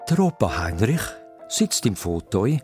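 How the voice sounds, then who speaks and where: A man's voice: fairly low pitch, sing-song, a little slow, over music. A man with a Swiss accent narrating a children's radio play as a scripted story.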